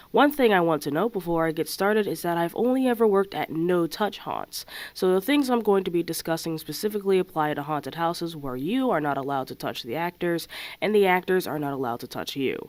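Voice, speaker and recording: A woman's voice: on the low side, a little mellow, dry recording. Blunt young woman with a slight cold. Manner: blunt, dry sarcastic asides. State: slight cold, a little raspy. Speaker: haunted house actor. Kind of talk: scripted advice monologue.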